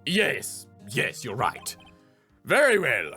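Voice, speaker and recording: A man voicing a solemn elderly courtroom judge in a video game, slow and formal in manner. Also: mid-range voice, huge pitch swings, sharp tone, faint music behind, full character voice.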